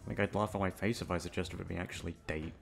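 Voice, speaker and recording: Low, gravelly young playboy, acted by a man. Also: fairly even intonation. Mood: flustered, self-doubting. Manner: informal, halting, tongue-tied around crush. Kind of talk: ASMR roleplay audio drama.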